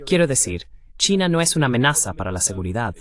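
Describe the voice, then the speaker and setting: A man speaks as the translation voice for an interviewer. He delivers commentary and questions at an ordinary middle pitch, in a voice-over style. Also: very animated, quick pace.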